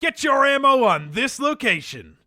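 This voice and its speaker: A man voicing a bombastic army commander who barks orders with theatrical swings between calm and yelling. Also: very high-pitched, moderate pace, dry recording, studio quality, full character voice. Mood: commanding. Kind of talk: comedic game-character voice lines.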